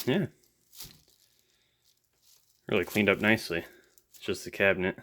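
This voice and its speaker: A man's voice: low-pitched, sharp tone, a little hiss or hum. A man giving casual hands-on repair commentary in an offhand, dryly joking way. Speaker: electronics restoration video host.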